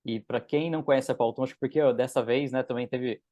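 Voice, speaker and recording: A man's voice: medium pitch, steady tone, fast talker, warm tone, dry recording, lo-fi sound. A man with a Brazilian Portuguese accent, hosting a webinar in a casual way with many fillers, speaking over a video call. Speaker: webinar host.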